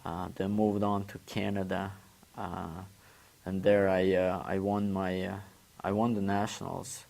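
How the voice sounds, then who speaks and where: A young man from Uzbekistan speaks English, his fourth language, in a low, gravelly voice. His delivery stays flat and unhurried, with frequent restarts and repeated words. He is a guest answering questions on a local show. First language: Turkish. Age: 20s. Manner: halting, repeats words while searching for phrasing. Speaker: guest, former student athlete. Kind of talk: casual interview answers.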